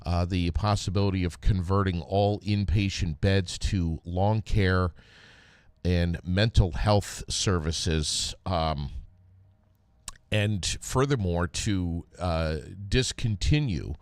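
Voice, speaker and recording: Man, news co-host, fairly deep voice, dry sound, conversational commentary, hesitant phrasing. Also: slow, smooth, no room sound.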